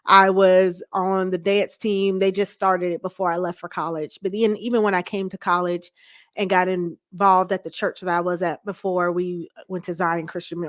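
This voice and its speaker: A woman's voice: on the low side, dry recording, clean studio sound. A woman interview guest chatting casually and explaining her views in a candid way. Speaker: guest, church first lady.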